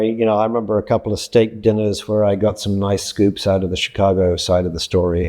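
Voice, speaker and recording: A man of about sixty from Scotland, giving reflective interview answers in a measured, explanatory way, often correcting himself. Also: low voice, dark tone, husky. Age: late 50s to early 60s. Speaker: interviewee, journalist and freelance writer.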